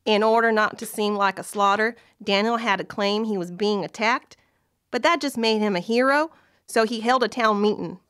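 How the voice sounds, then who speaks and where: A woman's voice: mid-range voice, normal speed, fairly bright tone, smooth, no echo, studio quality, close to an everyday voice. A woman playing a folksy, wry Old West storyteller, narrating an audio drama. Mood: wry storytelling. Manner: folksy, colloquial.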